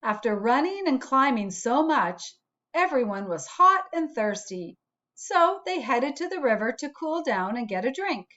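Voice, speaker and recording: A woman narrating a children's storybook aloud in a measured, expressive storytelling manner. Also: high voice, dull audio. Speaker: storybook reader.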